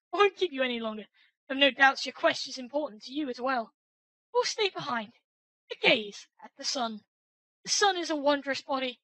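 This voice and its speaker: Cheery sun knight lady, a guy doing a high falsetto, swoops all over, bright and sunny, grand way of talking. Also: full character voice, rough recording. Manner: grand, sing-song falsetto, sunny and confident. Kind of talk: reading a game's dialogue aloud. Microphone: headset.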